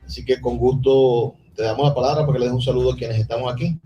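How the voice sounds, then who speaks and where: A man hosting a book presentation, introducing it in a halting, informal way. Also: medium pitch, fairly even intonation, dark tone, muffled recording, soft background music. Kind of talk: live event introduction.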